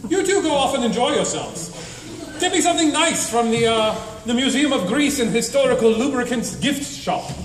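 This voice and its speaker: A man's voice: extremely high pitch, expressive, normal speed. A man playing a grandiose, fatalistic adventurer hero in a comic radio drama, declaiming pompously. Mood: grandly resigned. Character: grandiose self-styled hero, cheerfully fatalistic male adventurer. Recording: echoey hall stage, distant, faint noise.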